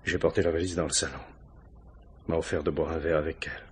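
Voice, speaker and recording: An anxious married man under questioning in an old-fashioned French radio mystery. His voice is deep and heavily husky. He explains himself haltingly, forever justifying what he did. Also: little pitch movement, exaggerated voice. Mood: defensive, uneasy. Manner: hesitant, self-justifying, halting. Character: anxious married man confessing to a police inspector. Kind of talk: 1950s French radio crime drama acting.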